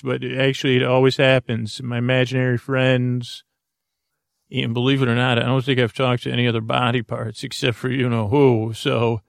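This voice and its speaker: A man with a gravelly, fry-edged voice at a middle pitch, recorded close and dry with no room sound. He hosts a podcast and rambles through its intro, doubling back on himself and trading deadpan jokes. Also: no room sound. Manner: meandering, self-interrupting, playful deadpan humor. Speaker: podcast host.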